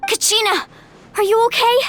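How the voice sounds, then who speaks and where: High-voiced, resolute young heroine. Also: female voice, fairly even intonation, normal speed, a bit bright, faint music behind. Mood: concerned. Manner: firm, reassuring. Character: resolute, caring young heroine rallying her friends. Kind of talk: anime video game cutscene acting.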